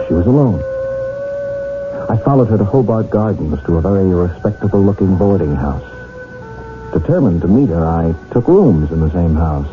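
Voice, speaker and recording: A low male voice with lively swings, an earnest, lovestruck English gentleman in a period radio play. He is courteous and romantic, telling his tale like a storyteller. The sound is thin and narrow, like an old broadcast, with music behind. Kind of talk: period radio drama acting.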